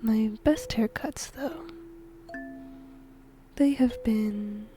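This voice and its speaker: Woman as a slow, whispering, playful death god. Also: on the high side, faint music behind. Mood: musing. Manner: gentle, musing, playful, breathy whispering. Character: whimsical female shinigami death god fond of humans. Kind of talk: whispered ASMR roleplay. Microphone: close microphone.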